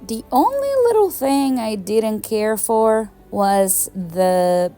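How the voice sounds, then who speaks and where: A woman's voice: fairly high pitch, lively intonation, slow, warm tone, soft background music. A young woman from Venezuela narrating her travel vlog in a chatty, enthusiastic way, laughing often. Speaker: travel vlogger. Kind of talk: casual travel vlog voice-over.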